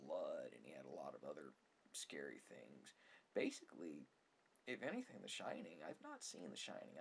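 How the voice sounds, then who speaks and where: Soft-spoken ASMR host with plenty of fry, rambling and opinionated about films, slightly noisy room recording. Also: mid-range voice. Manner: rambling, opinionated, mildly sarcastic. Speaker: ASMR video creator. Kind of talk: ASMR rambling film commentary.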